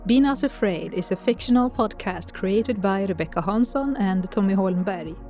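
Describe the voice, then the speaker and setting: Woman narrator, scripted audio drama story, mid pitch, music playing under her. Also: lively intonation, normal speed, darkish tone.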